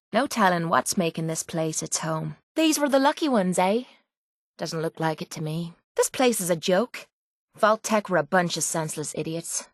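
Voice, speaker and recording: A woman's voice: lowish voice, no echo, studio quality. An actress playing a tough, sardonic young female brawler in a video game, with an Irish accent and a brash, earthy way of talking. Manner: brash, sarcastic, earthy slang. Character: tough, foul-mouthed, sardonic female brawler with a violent streak. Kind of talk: video game character voice lines.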